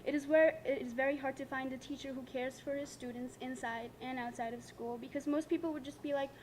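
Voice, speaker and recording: A woman's voice: sits high, fairly even intonation, a little fast, a little mellow, a little hiss or hum. A young woman, giving a prepared tribute speech as a student, giggly and self-conscious between lines. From Nepal. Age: late teens.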